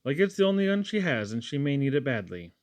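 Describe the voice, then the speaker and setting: A man voicing a fussy, warm-hearted housewife in a storybook, with an emphatic, homely scolding manner. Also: mid-range voice, big pitch swings, fast, no echo, natural voice. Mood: urging.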